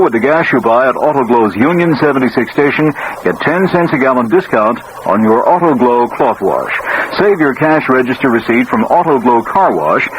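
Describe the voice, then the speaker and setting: A man working as a local radio announcer, reading a scripted commercial. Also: mid-range voice. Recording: noisy old radio broadcast.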